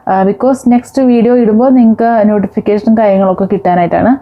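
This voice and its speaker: A woman's voice: medium pitch, fairly even intonation, fast talker. A woman hosting an explainer vlog, talking directly to viewers. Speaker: video host. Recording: dull, lo-fi sound.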